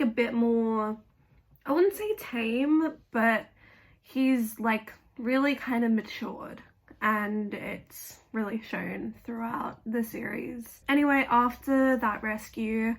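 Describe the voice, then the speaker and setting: Gravelly-voiced young woman chatting casually. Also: a little high. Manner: chatty, blunt, swears casually, many fillers. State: gravelly voice after illness. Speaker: video essayist. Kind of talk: casual fan commentary.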